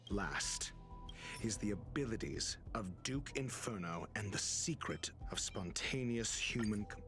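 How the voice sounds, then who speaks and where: An actor playing a composed detective in a video game cutscene, articulate and deliberate as he reasons out a mystery. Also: fairly low pitch, animated, fairly bright tone. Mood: deductive, measured. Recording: music behind the voice. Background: background music.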